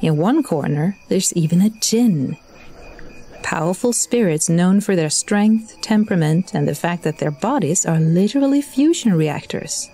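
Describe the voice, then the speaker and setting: Mellow, lowish woman narrating fiction. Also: lively intonation, measured pace.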